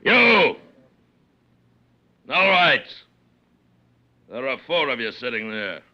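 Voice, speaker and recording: A belligerent, half-mad giant of a French smuggler, piercingly forceful and theatrical. His pitch swings widely as he rants and demands in grand, bombastic phrases. The sound is that of a dull old radio drama. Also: heavily acted. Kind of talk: 1940s radio drama acting.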